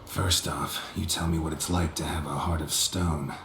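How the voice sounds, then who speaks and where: A man playing a gruff, weary, stoic monster hunter in a video game, speaking in laconic, deadpan phrases with a gravelly growl. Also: very deep voice, moderate pace, reverberant, noisy background. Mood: dry, calm.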